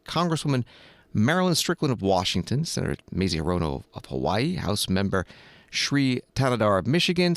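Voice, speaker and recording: A man hosting a news program, reading scripted news in a measured, even way. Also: on the low side, no echo. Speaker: radio news host.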